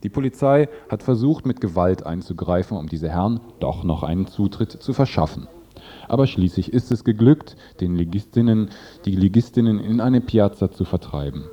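A man with a very low, heavily muted and mellow voice presents a radio news report. He reads prepared translations of interview statements in a scripted style. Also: expressive, normal speed, muffled recording.

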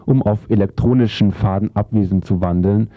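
Male narrator, low voice, formal declarative reading of scripted essay prose, lo-fi recording. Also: a little fast, mellow, no room sound. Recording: dull lo-fi sound.

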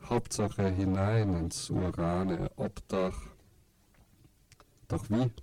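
A man narrating an experimental radio play in halting, fragmented short phrases. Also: deep voice, warm tone, recorded from a distance.

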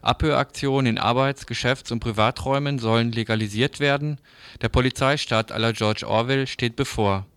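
A man reading scripted radio news previews as a presenter, in a formal, measured manner. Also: mid-range voice, steady tone, a bit bright, smooth, no room sound.

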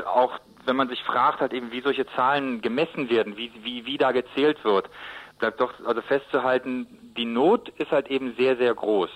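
A man explains things off the cuff in a radio interview, haltingly, often repeating words as he searches for phrasing. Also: medium pitch, a bit bright, rough recording. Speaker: interviewed expert on Nicaragua.

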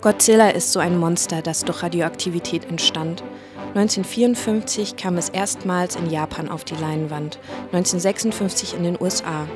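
A woman's voice: on the low side, steady tone, fairly quick. A woman narrating a scripted radio feature as interviewer and presenter. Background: music.

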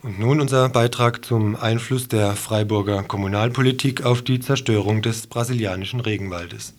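A man's voice: lowish voice, steady tone, normal speed. A man presenting a radio news magazine, reading scripted narration and announcements.

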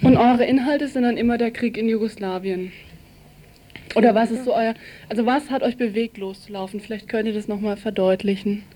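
A woman's voice: on the high side, steady tone, brisk, warm tone, a little hiss or hum. A woman working as a radio reporter, narrating from a script and putting questions to interviewees.

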